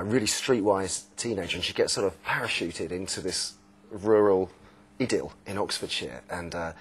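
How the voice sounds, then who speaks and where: A man's voice: deep voice, a little hiss or hum. A middle-aged man discussing a book on a panel in a thoughtful, analytical way.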